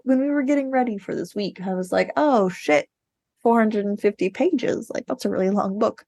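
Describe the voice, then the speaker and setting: A woman's voice: medium pitch, moderate pace, a little mellow, no echo, studio quality. A woman co-hosting a podcast, chatting casually about books in a playful, emphatic way. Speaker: podcast co-host discussing books. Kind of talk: casual book chat.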